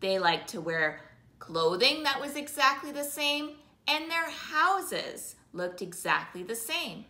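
Animated, sing-song woman storyteller. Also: high-pitched. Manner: slow, sing-song, repetitive rhythmic phrasing with drawn-out words. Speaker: children's storytime host. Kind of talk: animated oral storytelling for young children. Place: living room, indoors. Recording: roomy indoor echo.

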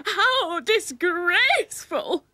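Easily frightened aunt for a children's story: shrill, piercing, bright and very high. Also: female voice, lively intonation, no echo, heavily acted. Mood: shocked outrage. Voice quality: piercing, screechy. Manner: exclaiming in drawn-out cries.

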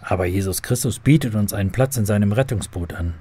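A man's voice: lowish voice, fast talker, warm tone, breathy edge. A man playing an earnest believer who patiently explains faith to children in a scripted radio drama.

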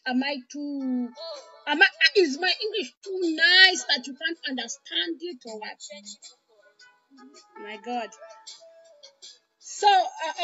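A high-pitched woman with a Zambian accent speaks as an online preacher. She addresses her viewers informally and devoutly, circling back over her phrases and dropping in blessings. The livestream sounds dull and lo-fi, with clear music behind her. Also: pushed and bright.

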